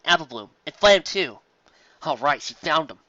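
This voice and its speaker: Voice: medium pitch, lively intonation, normal speed, sharp tone, no echo, dull audio. A reader narrating a fan story aloud, with a plain delivery and occasional slips.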